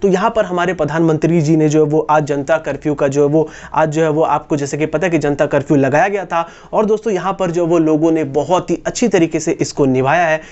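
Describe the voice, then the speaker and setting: Young Indian man, higher-pitched voice. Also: brisk, few pauses, darkish tone, lo-fi sound. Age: 20s. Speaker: YouTube host. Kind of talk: explanatory talk to camera. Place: indoors, small room against a wall. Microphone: lapel mic.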